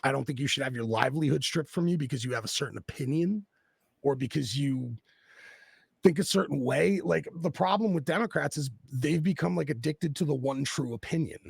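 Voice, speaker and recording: A man's voice: a little high, brisk, gravelly, husky, no room sound, clean studio sound. A man in casual political conversation, talking bluntly and passionately with plenty of swearing. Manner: blunt and profane, impassioned.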